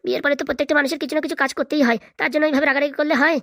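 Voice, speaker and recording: A woman's voice: sits high, steady tone, very fast, fairly bright tone, no room sound, clean studio sound. A woman voicing a meek young husband who pleads hesitantly in a comic cartoon. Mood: pleading. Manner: hesitant, placating, stammering. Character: meek, pleading young student husband, henpecked son-in-law. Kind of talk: comic cartoon drama dialogue.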